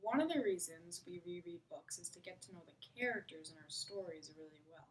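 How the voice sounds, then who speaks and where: A woman's voice: fairly low pitch, expressive, brisk, slight background noise. A woman teacher leading a read-aloud lesson, speaking expressively to children and putting questions to them. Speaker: elementary school teacher. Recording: voice far from the mic.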